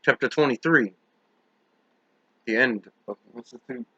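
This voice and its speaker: Bright, forceful male audiobook narrator. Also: mid-range voice, measured pace, dry recording, lo-fi sound. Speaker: audiobook reader. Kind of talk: scripted dramatic narration.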